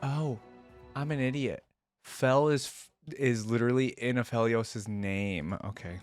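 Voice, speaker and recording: A man's voice: mid-range voice, unhurried, soft background music. A man hosting a reaction video with casual, rambling commentary, thinking aloud in an informal way.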